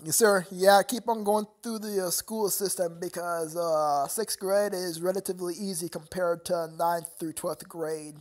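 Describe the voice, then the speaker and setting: Young dude live streaming, lots of uhs and repeats, keeps laughing between lines. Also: male voice, high voice, steady tone, unhurried, hardly any pauses. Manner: rambling, stammering, laughs often. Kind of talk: casual live stream chat with viewers.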